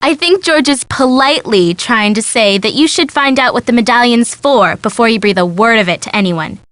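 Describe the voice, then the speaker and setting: Young female sidekick, perky and teasing, very high voice, close dry game recording. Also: lively intonation, moderate pace, a bit bright, no echo. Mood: curious, bubbly. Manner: bubbly, teasing, playful. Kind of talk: video game voice acting.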